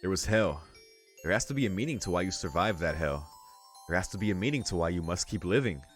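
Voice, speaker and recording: A man reading a visual novel aloud as a let's play host, in measured narration with casual, slangy asides. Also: deep voice, lively intonation. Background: music.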